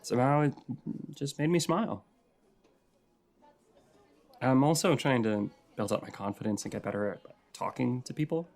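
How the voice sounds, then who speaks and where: A man playing a shy, sweet writer in an intimate audio roleplay, chatting in a hesitant, rambling, softly flirty way. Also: medium pitch, moderate pace, gravelly, pauses often. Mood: nervous, earnest. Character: shy, rambly, sweet regular writing in a coffee shop.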